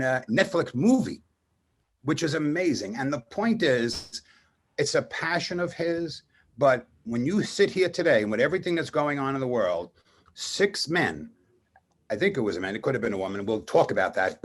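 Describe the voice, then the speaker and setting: A man's voice: medium pitch, breathy edge. A man hosting a radio interview, talking in an emphatic, effusive and digressive conversational way. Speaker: radio show host. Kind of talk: conversational interview and guest introduction. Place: radio studio.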